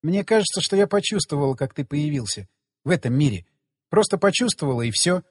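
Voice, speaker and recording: A man's voice: a little high, animated, fairly quick, husky, big-room sound. A man voicing a proud, teasing woman in an audiobook, with a casual, wry, self-assured manner. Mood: casual, confiding. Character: proud, teasing young woman, lover of the hero.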